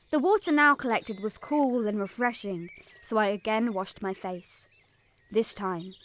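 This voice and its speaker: A woman playing an eager young Punjabi village girl, telling her story in expressive first-person dramatic narration. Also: on the high side, slight background noise, natural voice. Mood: reflective.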